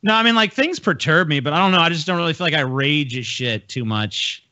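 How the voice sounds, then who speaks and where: A man's voice: a little high, lively intonation, fairly quick, bright, forceful tone, no room sound, dull audio. An American man hosting a podcast, chatting casually in a blunt, profane, ranting way. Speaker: podcast host. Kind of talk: casual chat, ranting banter. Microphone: close podcast microphone on a video call.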